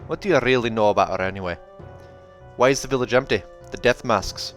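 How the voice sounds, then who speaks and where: A man voicing a gruff, suspicious older dwarf in a storybook reading, with a grave, blunt manner. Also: medium pitch, brisk, fairly bright tone, faint music behind, lightly acted. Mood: wary.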